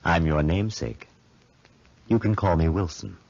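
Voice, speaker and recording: Actor playing sinister doppelganger man, bottomless low voice, ominous, 1940s radio horror, muffled. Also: moderate pace, close to an everyday voice. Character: sinister, calm male phantom double, an evil genius.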